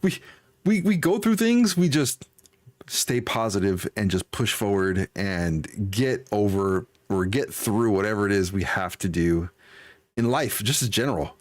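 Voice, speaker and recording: Animated man in his forties, livestream host. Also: fairly low pitch, measured pace, no room sound. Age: 40s. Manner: chatty, upbeat, folksy exclamations. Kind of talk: casual livestream chat, greeting and answering viewers.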